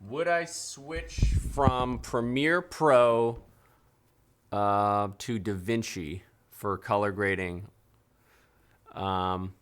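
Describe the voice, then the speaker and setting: A man's voice: medium pitch, fairly bright tone. A man in his thirties hosting a live call-in stream from a dim studio desk, talking loosely with fillers and restarts. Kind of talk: casual live-stream chat.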